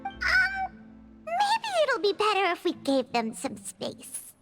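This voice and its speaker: An actress voicing a small, excitable fairy-like girl companion in a video game, chatty and talking about herself by name. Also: very high voice, slow pace, heavily acted. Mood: hesitant. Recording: game dialogue over background music.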